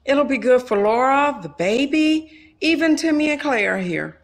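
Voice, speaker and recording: A woman's voice: high-pitched, expressive, a little slow, exaggerated voice. A woman playing a practical, placating aunt in an audio drama. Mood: reassuring.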